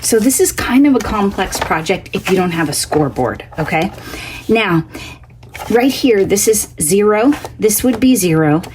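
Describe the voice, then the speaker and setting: A woman hosting a casual crafting tutorial, scratchy from a cough, chatty and homey, thinking out loud as she works at her craft table. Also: medium pitch, expressive, moderate pace. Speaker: craft channel host.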